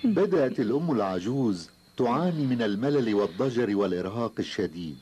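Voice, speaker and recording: A man's voice: mid-range voice, lively intonation, fast talker, warm tone, natural voice. A man narrating a children's cartoon tale in formal Arabic as a solemn storyteller. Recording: noisy, hissy old cartoon soundtrack.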